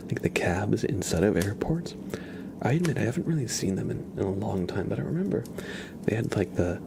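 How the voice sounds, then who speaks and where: A man hosting a live ASMR stream, chatting casually and haltingly in a soft, breathy whisper with a relaxed, musing manner. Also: background noise. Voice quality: soft, breathy whisper with some creaky low voicing. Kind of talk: whispered ASMR livestream chat.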